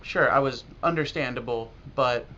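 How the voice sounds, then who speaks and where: A man's voice: medium pitch, normal speed, bright, forceful tone. American man, casual vlog, dull noisy audio. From United States. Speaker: language learner making video blogs. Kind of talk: casual talk to camera about learning. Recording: dull lo-fi audio with clear noise.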